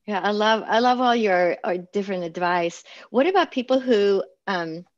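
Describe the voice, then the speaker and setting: A woman's voice: mid-range voice, animated, moderate pace, dry recording. A woman hosting an interview, affirming her guest and asking questions with frequent ums. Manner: warm affirmations, hesitant with many fillers. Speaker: interview host. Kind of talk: interview conversation. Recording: dry, dull lo-fi audio.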